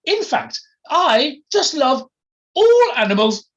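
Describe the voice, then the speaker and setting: Storybook snob: a haughty upper-class English lady, very high and squawky, schoolmistress airs, swooping delivery. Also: very animated, dull audio, full character voice. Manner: drawn-out, gushing, haughty.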